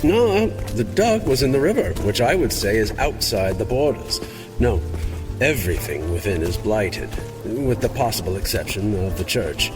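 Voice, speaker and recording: Over a clear music bed, a man acts out a learned cleric from a dark fantasy audio drama. He is dry and grim, explaining in a deliberate, scholarly way, with lively movement in his pitch. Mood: thoughtful. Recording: music under the voice.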